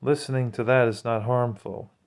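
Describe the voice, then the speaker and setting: A man's voice: medium pitch, fairly even intonation, normal speed. A man speaking casually and earnestly to viewers as a vlogger, giving admonishing religious testimony.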